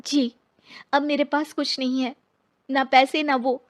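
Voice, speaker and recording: An earnest, wronged young divorced woman from a cartoon story, voiced by a woman. Her voice is high and her delivery is plaintive drama dialogue. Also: flat delivery, a little slow. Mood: aggrieved.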